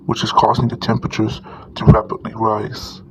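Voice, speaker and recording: A man's voice: on the low side, completely flat delivery, normal speed. A man playing a formal official announcer giving an emergency heat warning over a noisy recording. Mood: grave, urgent. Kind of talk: scripted emergency broadcast announcement. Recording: clearly noisy broadcast-style recording.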